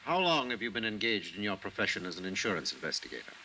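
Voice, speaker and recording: A man's voice: fairly low pitch, very animated, fast, pushed and bright, exaggerated voice. A man playing a formal, incisive American prosecuting attorney in a 1950s radio courtroom drama. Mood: formal, brisk. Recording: faintly noisy vintage radio recording.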